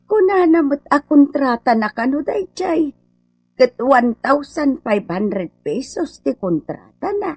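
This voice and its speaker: An actress playing a plain-spoken village woman with a husky voice in a comedy radio drama. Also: high voice, very animated, slow pace, a little mellow, no echo, exaggerated voice. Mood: matter-of-fact.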